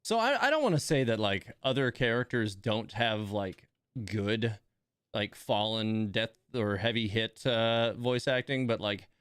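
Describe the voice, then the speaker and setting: A man's voice: on the low side, lively intonation, a little slow, a bit bright, clear, resonant tone, no echo, clean studio sound. A man giving casual live stream commentary on a game in a rambling, amused, conversational way.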